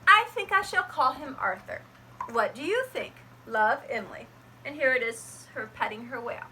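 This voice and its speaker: A woman teacher reading a picture book aloud to her students, gentle and expressive. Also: high-pitched, moderate pace, slight background noise.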